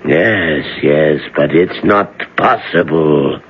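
Brief: frightened accomplice, husky and urgent, muffled old radio play. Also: male voice, extremely low pitch, drawn-out words, heavily acted. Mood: shaken. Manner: shaken, urgent, hushed. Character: frightened male accomplice in witchcraft. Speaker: actor in radio drama. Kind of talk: 1970s radio drama acting.

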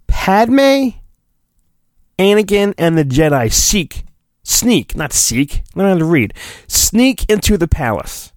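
Male podcast host, fairly high voice, dry recording; mock-dramatic children's book narration with offhand commentary. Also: dry recording. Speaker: podcast host reading a storybook. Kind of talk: dramatic storybook reading with casual asides.

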